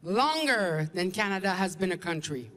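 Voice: medium pitch, lively intonation, moderate pace, a bit bright, in a large hall. A co-presenter reading a scripted talk with a formal, declarative delivery.

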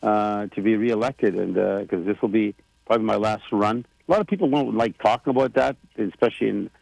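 A man in his sixties talks in a loose, rambling way, full of fillers and restarts. His voice has a gravelly, fried edge, and the sound is dry and close. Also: lowish voice, fairly quick, a little mellow, dry recording, low-quality recording. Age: 60s. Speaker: president of a government, radio guest. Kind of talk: casual radio chat.

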